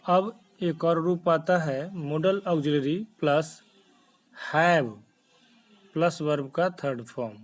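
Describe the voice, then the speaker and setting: Very slow, deliberate Indian male teacher. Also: fairly high pitch, low-quality recording. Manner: deliberate, instructional, spelling out each part. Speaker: English grammar teacher. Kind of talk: step-by-step lesson in Hindi mixed with English terms.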